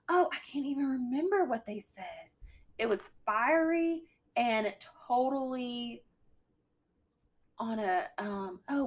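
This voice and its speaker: A young adult woman with a slightly deep voice, a guest talking candidly with a dry sense of humor. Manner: candid, dry humor, mimics a bright work voice. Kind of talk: casual chat.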